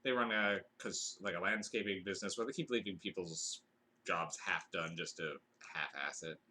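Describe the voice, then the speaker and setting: A man's voice: sits low, moderate pace, bright, forceful tone, a little hiss or hum. A man hosting a podcast, giving a casual, rambling film review full of fillers and restarts, with dry asides.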